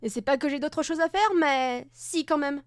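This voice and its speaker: A woman's voice: sits high, fairly even intonation, moderate pace, very noisy, natural voice. A woman playing a brusque, wary, sarcastic young traveler in an audio drama. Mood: irritated. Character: curt, wary, self-reliant young woman traveler named Zoé.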